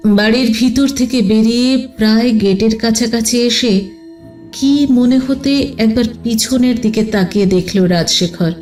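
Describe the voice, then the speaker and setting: A woman's voice: a little high, measured pace, darkish tone. A woman narrating a scripted detective story as an audio storyteller. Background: music.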